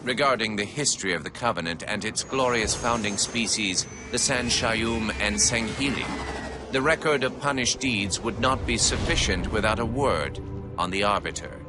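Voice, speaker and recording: A man giving formal, solemn scripted narration for a video game, over a very loud score. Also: on the low side, normal speed, music in the background.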